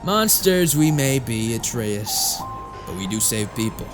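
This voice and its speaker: Music plays strongly behind a hardened male exorcist voiced by an actor. He is a stern warrior mentor with an average-pitched voice, lecturing bluntly and with weight, as in a fantasy audio drama. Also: expressive, unhurried, lightly acted. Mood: somber, consoling.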